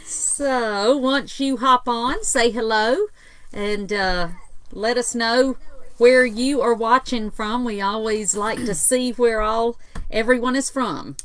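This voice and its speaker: A woman co-hosting a casual livestream craft demo, walking viewers through steps in a relaxed, agreeable way. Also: fairly high pitch, slow. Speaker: co-host, home decor designer, mother.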